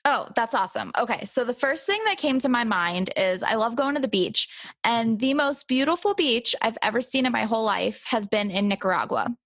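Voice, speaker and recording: A woman's voice: a little high, expressive, a little fast, a bit bright, dry recording, clean studio sound. A woman hosting a podcast interview, chatting casually in an enthusiastic, agreeable way.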